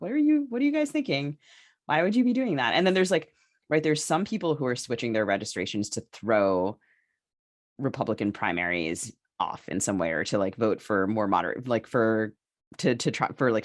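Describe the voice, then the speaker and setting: A woman co-hosting a podcast discussion, speaking casually and haltingly as she thinks her points through aloud. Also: very deep voice. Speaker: podcast co-host.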